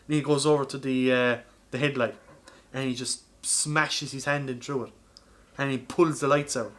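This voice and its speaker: A man's voice: mid-range voice, fairly even intonation, normal speed, fairly bright tone. An Irish man co-hosting a podcast, telling film stories in a casual, informal and sweary way. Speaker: podcast co-host. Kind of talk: casual film chat, animated storytelling.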